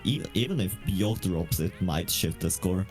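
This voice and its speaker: A man's voice: deep voice, normal speed, over music. A man calling live esports play-by-play, exclaiming at the key moments. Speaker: esports commentator.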